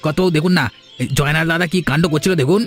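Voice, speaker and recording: A man's voice: a little high, fast, lightly acted. A man voicing an officious, tattling manservant in a fairy tale cartoon, scolding and accusing in a bullying way. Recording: music behind the voice.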